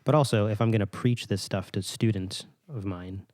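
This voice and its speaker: Male podcast host, lowish voice, casual and encouraging, thoughtful halting phrasing, very dry close sound. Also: darkish tone, no echo. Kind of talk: casual interview chat.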